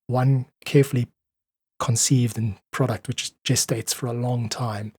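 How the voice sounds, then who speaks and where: A man's voice: medium pitch, steady tone, a little slow, a little mellow, breathy edge. A South African man speaking earnestly and articulately as an interview guest, explaining his ideas in conversation. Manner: earnest, articulate, uses analogies. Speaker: podcast guest, independent musician.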